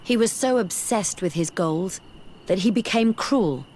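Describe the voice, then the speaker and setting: An actress playing an earnest, bookish young female scholar in a video game, speaking in a measured, articulate way. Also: medium pitch, lively intonation, a little mellow, slight background noise, lightly acted. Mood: troubled, ashamed. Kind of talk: video game dialogue acting. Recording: music behind the voice.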